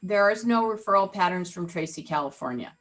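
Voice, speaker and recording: A woman's voice: on the low side, expressive, fairly quick. A woman leading an interactive online real estate training, chatty and teasing, often asking the group questions over a video call.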